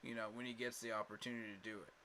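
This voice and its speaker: A man's voice: lowish voice, little pitch movement, rapid-fire, pushed and bright, slight background noise. A man hosting a casual fan livestream, talking emphatically with lots of fillers and repeated words, tired from little sleep. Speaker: fan channel host.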